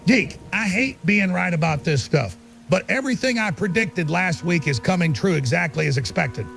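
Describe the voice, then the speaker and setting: An actor playing a paranoid, self-assured conspiracy researcher calling in to talk radio, insistent and conspiratorial. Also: male voice, sits high, lively intonation, moderate pace, gravelly, fairly bright tone, faint music behind, heavily acted. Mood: smug, insistent. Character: male conspiracy researcher, paranoid, smugly certain truth seeker. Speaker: caller. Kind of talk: talk radio call-in, radio drama.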